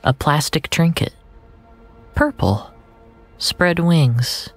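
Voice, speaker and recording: A narrator delivering a scripted, surreal audio drama in a measured, dramatic way, often sinking into a breathy near-whisper. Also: mid-range voice, faint music behind.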